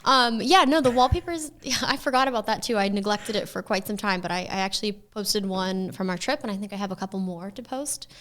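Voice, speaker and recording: A woman's voice: mid-range voice, fairly quick, few pauses, a bit bright. Thirties woman chatting on a podcast, jokey and self-mocking, laughs easy. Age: 30s. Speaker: podcast guest. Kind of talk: casual chat.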